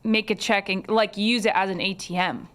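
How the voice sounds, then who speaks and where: A woman's voice: mid-range voice, fairly even intonation, moderate pace, fairly bright tone. A woman from Hungary co-hosting a casual podcast, blunt and profane, often laughing. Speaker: podcast co-host. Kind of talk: casual crude podcast banter.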